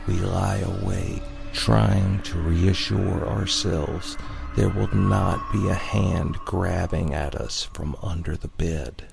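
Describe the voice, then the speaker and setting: A man narrating a scripted spooky tale in a measured, dramatic storyteller's manner. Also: low voice, steady tone, gravelly. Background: music.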